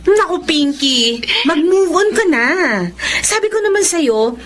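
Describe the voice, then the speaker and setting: Giggly filipina in love, radio drama, high voice, some noise behind. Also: female voice, animated, a little slow. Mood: teasing. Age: 30s. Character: Minnie, smitten, giggly thirty-year-old woman in love. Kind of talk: Tagalog radio drama acting.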